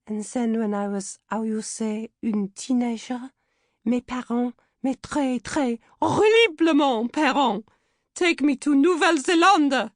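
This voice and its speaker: A woman voicing a teenage girl who puts on a tragic, husky French actress accent in a comic audiobook. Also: a little high, a little slow, dry recording, clean studio sound, voice pushed up, close to an everyday voice. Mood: tragic, overwrought. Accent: mock French.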